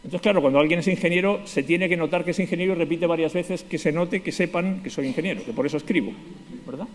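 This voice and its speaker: Fast-talking Spanish man in an echoey hall. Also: on the high side. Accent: Spanish from Spain. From Spain, Basque Country. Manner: ironic, self-deprecating humor. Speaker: conference speaker. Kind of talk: conference keynote talk. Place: large conference hall.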